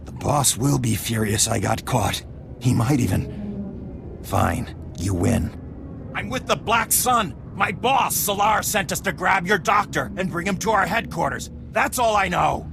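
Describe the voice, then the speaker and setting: A man playing a surly, snarling crime syndicate thug in a video game, with a gravelly voice. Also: sits high, sing-song, unhurried, full character voice. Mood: grudging. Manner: defiant, snarling. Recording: music playing behind.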